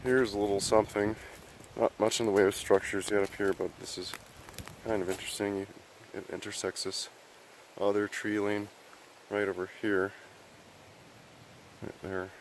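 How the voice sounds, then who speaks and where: A man with a cold and a hoarse voice, narrating casually as he hikes through a remote mountain forest. He rambles, thinks aloud and clears his throat often. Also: on the low side, little pitch movement, moderate pace, long pauses, a little hiss or hum, low-quality recording. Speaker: outdoor explorer filming a vlog. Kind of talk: casual narration while hiking.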